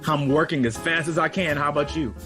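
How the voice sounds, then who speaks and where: A man's voice: a little high, steady tone, fairly quick, over music, natural voice. A man playing an earnest, weary composer in an audio drama, modest, polite and thoughtful. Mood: polite, pressed.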